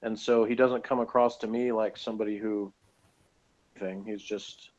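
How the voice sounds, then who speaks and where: Dull-sounding man in halting casual debate. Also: fairly low pitch, flat delivery, darkish tone. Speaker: podcast co-host reviewing TV episodes. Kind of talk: casual discussion. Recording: dull lo-fi audio.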